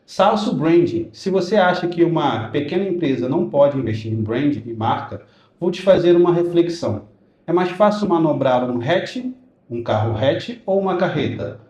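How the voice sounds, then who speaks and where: A man's voice: mid-range voice, warm tone, echoey room. A man hosting a podcast, reading sponsor messages in an announcer-like way and then asking his guest questions in a friendly way. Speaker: podcast host.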